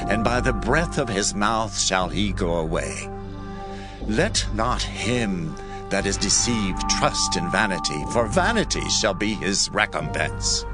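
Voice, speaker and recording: An actor playing a solemn accuser warning of doom, measured and declamatory, in a dramatized Bible reading. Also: male voice, fairly low pitch. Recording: loud music over voice. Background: music.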